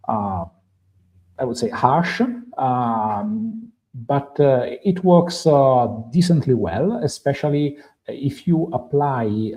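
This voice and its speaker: Italian guy on a tech stream, lots of uhs and restarts, kinda dark mellow voice. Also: medium pitch, poor audio. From Italy. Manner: hesitant, many uh fillers and restarts. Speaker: stream co-host presenting a guide. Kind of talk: live tech stream walkthrough.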